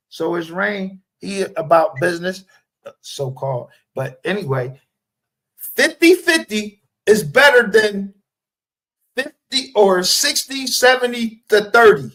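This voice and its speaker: A man's voice: high voice, animated, measured pace, fairly bright tone. A man in his fifties chatting casually as a panelist on a livestream. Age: 50s. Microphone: streaming call.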